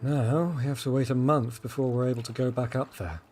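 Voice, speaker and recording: A man's voice: medium pitch, fairly even intonation, brisk, a little mellow, soft background music, natural voice. An actor playing a cautious, helpful young man in an audio drama, explaining things earnestly and easily flustered. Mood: earnest, urgent.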